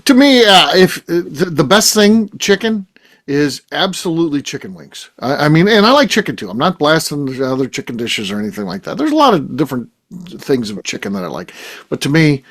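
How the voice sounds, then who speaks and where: A middle-aged man hosts a loose chat, his pitch swinging up and down as he jokes and teases. He often restarts and fills with "uh". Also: fairly quick. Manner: animated, jokey, many restarts and fillers. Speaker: host. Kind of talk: casual roundtable chat.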